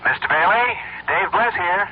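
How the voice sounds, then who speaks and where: A man acting in a 1970s radio drama as a smooth, calculating conspirator with a measured manner. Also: high-pitched, lively intonation. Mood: sly. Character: smooth, calculating conspirator handing over blackmail photographs. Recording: muffled, noisy old radio broadcast.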